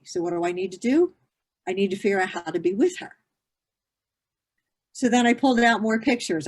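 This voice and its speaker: A woman's voice: medium pitch, darkish tone. A woman in her fifties, a grief therapist, answering interview questions in an earnest, explanatory way with many fillers and restarts. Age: 50s.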